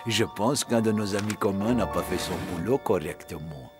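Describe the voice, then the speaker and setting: A man's voice: fairly low pitch, normal speed, over loud music, natural voice. A man playing a snide, menacing, scheming villain in a cartoon, with a sinister muttering manner. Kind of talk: cartoon voice acting.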